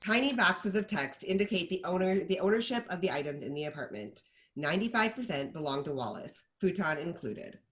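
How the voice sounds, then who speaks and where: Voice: mid-range voice, big pitch swings, a little fast, fairly bright tone. A narrator of unclear sex reading screenplay stage directions as scripted narration.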